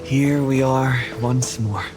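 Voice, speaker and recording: Man voicing a quiet game traveler, hushed, breathy, drawn-out delivery, music behind. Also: medium pitch, steady tone, natural voice. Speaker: game character. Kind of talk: game cutscene voice acting. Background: game score.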